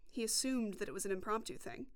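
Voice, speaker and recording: A woman's voice: medium pitch, animated, fast. A young woman reporting and narrating a scripted documentary podcast.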